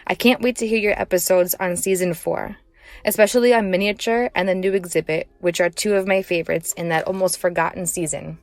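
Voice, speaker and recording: A woman's voice: lowish voice, fairly even intonation, fairly quick, no room sound. A woman giving a prepared personal commentary as a listener contributing to a podcast.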